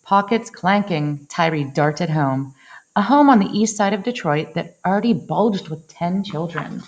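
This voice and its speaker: A woman reading a picture book aloud in an expressive storytelling style, dwelling on action words with dramatic phrasing. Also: fairly low pitch, a little slow, lo-fi sound, a little hiss or hum. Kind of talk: expressive children's read-aloud narration.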